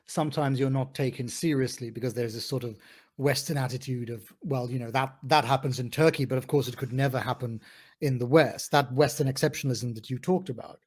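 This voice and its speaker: Measured male podcast interviewer. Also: mid-range voice, darkish tone, slightly rough. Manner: measured, probing questions.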